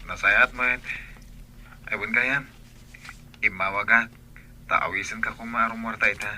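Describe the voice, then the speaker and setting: A man's voice: lowish voice, fairly even intonation, measured pace, bright, forceful tone, slight background noise. A man playing an earnest, lovestruck young village man in a radio-style story drama. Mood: courting.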